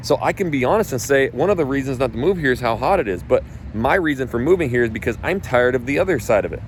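A man with a low voice talks bluntly outdoors, and he swears often and hits his points hard. Faint traffic noise from the street runs under his speech. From North Idaho. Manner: blunt, profane and emphatic. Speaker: real estate agent and coach. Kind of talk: casual talk.

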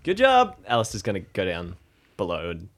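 A man's voice: on the low side, huge pitch swings, normal speed, a bit bright. A man playing in an improvised audio drama, chatting casually and thinking aloud as he role-plays.

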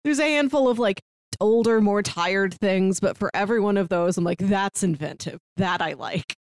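A woman's voice: medium pitch, normal speed, dry recording. A woman co-hosting a podcast, giving articulate, opinionated takes in a casual film review chat. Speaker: podcast co-host.

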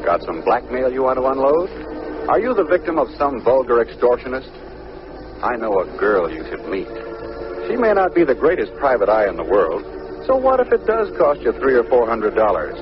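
An actor playing a slick, playful pitchman, theatrical and salesman-like, introducing a 1950s radio drama. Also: wildly swooping pitch, normal speed. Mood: playful, enticing. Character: slick, playful male pitchman touting a detective. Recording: muffled old radio recording with music.

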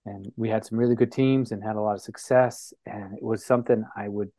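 A man from New Bedford, Massachusetts, a guest on an interview show, recounting his past reflectively with many pauses and fillers. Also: on the low side, fairly even intonation, mellow, dry recording.

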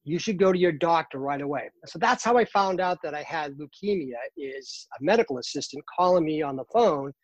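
A man in his fifties with a Boston accent, a guest in a casual interview, talking earnestly with many pauses and fillers. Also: fairly high pitch, animated, no room sound, highs cut off. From Boston, Massachusetts. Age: 50s. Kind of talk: casual interview over a call.

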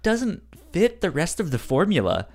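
A man co-hosting a podcast, chatting casually and haltingly, often stuttering over repeated syllables. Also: on the high side, wildly swooping pitch. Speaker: podcast host. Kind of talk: casual chat about a cartoon series.

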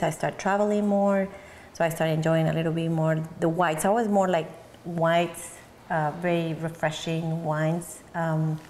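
Mexican-accented woman interviewee, lowish pitch, searching phrasing, faint noise and reverb. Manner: thoughtful, searching for words, soft laughs. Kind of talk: oral history interview. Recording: long hall reverb, faint background noise.

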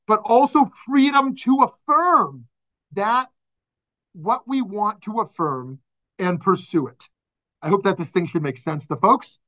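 A man's voice: high voice, fairly bright tone. A man, a rabbi, teaching a class over a video call, talking conversationally, putting questions to the group and laughing often. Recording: dull lo-fi video call audio.

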